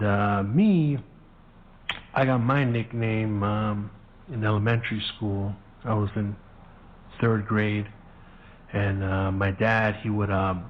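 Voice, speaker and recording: A man's voice: fairly low pitch, slight background noise. A man in his thirties whose first language is Spanish, hosting a podcast and telling stories in a rambling, profane, slangy way. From United States. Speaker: podcast host. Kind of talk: casual storytelling rant. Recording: tinny phone-like audio.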